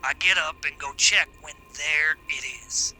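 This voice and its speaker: A jumpy old rural loner spinning an eerie tale from his porch in a radio play. His voice sits a bit high and rings very bright, with faint music under him. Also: steady tone, measured pace. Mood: uneasy. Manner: rustic drawl, uneasy storytelling. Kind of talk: radio drama acting.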